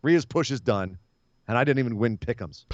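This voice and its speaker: A man with a slightly hoarse voice talks with animated conviction, laughing easily as he argues his picks. The sound is dull and lo-fi, dry and close, like a remote podcast mic. His pitch sits in a middle range. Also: normal speed, dry recording. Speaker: podcast co-host. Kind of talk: casual wrestling podcast chat.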